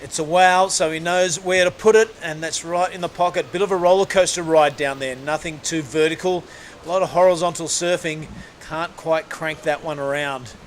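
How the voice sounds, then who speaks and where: A man's voice: on the high side, steady tone, normal speed, slight background noise. A man hosting live surf commentary, calling rides in a laid-back, matey way.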